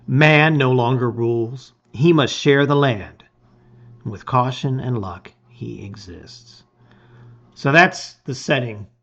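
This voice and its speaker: A middle-aged man presenting and reading aloud with expressive emphasis, his phrases often trailing off softly. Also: medium pitch, slow. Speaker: host reading a gamebook aloud. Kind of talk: casual intro and dramatic read-aloud of storybook narration. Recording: slightly dull, lo-fi sound.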